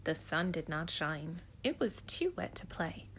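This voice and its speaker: A woman preschool teacher reading a picture book aloud at home in an expressive, sing-song storytelling way. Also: low-pitched, unhurried, a little hiss or hum.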